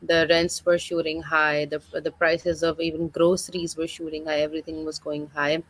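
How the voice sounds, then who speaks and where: A woman in her thirties from Pakistan speaks over a video call as a guest, friendly and fluent, with plenty of filler uhs. Her voice sits a little low. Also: level tone, normal speed, a bit bright, low-quality recording. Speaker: guest, digital business mentor. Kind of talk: online interview, personal story.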